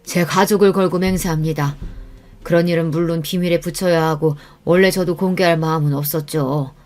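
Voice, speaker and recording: A woman's voice: sits low, steady tone, fairly quick, a little mellow, natural voice. A woman voicing a resentful older male apartment guard, confiding and indignant, in a radio-style story.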